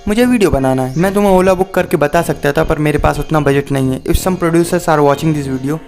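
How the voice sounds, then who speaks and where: Higher-pitched young Indian man over music. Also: steady tone, normal speed, gravelly, a little mellow. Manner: mock-earnest, emphatic, switches between Hindi and English. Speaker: video host. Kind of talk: sarcastic scripted comedy monologue to camera.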